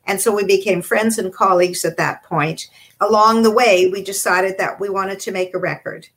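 An older Canadian woman, a children's entertainer, recounting her career in a measured, reflective way. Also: mid-range voice, fairly even intonation, fairly bright tone. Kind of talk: interview storytelling.

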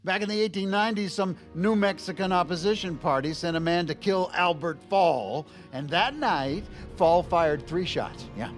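A man's voice: high voice, normal speed, hardly any pauses, soft background music, natural voice. A man playing a jumpy, cynical, profane political insider who sputters in an audio drama. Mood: urgent warning. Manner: incredulous, sputtering, sarcastic. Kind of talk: audio fiction drama acting.

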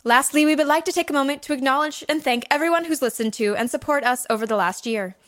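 An actress reading the lines of a media director, making formal announcements in a recording take. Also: female voice, high-pitched, fast, fairly bright tone. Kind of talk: audio drama recording take.

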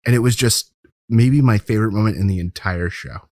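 Low-pitched man chatting on a podcast. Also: a little fast, a little mellow, dry recording, studio quality. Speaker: podcast co-host. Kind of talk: casual chat about a TV show.

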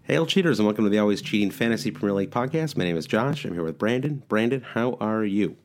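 A nasal Midwestern American man hosts a podcast with a stuffy head cold. His pitch jumps around a lot as he talks, and his rambling sentences are full of "like" and restarts. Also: lively intonation, fast, faint music behind. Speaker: podcast host. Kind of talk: casual podcast chat.

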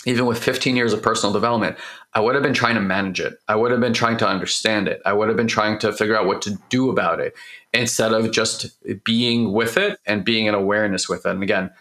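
A man with a hoarse voice, recovering from losing it, hosting a personal growth talk and speaking openly and emotionally, rambling with restarts. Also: fairly low pitch, fairly even intonation, quick pace, fairly bright tone. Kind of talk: candid heartfelt monologue.

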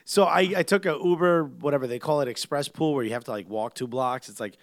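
A man's voice: mid-range voice, fast, no room sound, studio quality. A man from New York, a podcast host, telling stories in casual chat with lots of laughter. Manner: animated storyteller, laughs a lot.

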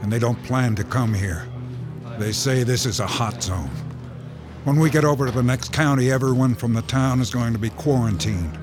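A man's voice: medium pitch, normal speed, over music, natural voice. An actor playing a grim male officer delivering urgent warnings in a horror radio play. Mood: grave. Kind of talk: radio drama acting.

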